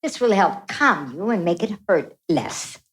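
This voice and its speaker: A woman's voice: fairly low pitch, very animated, a little slow. A woman playing an officious, firm medical receptionist in a live radio play. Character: officious, bossy female receptionist.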